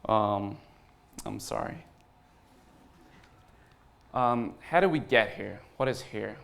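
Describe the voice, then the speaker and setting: An Irish man speaking as a startup founder at a conference, informal and earnest, pausing deliberately for emphasis. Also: fairly low pitch, creaky, a bit bright. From Ireland. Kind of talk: conference talk.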